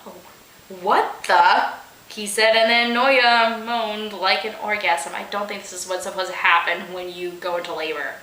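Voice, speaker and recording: A woman's voice: mid-range voice, steady tone, moderate pace, few pauses, sharp tone, reverberant, poor audio. A woman hosting a comic read-aloud of amateur fan fiction, giggly, mock-dramatic and incredulous in her delivery.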